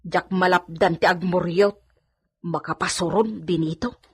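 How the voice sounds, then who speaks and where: A woman's voice: fairly low pitch, steady tone, measured pace, breathy edge, heavily acted. A woman playing an outspoken, protective maidservant in a radio drama, speaking with an Ilocano accent. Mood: indignant.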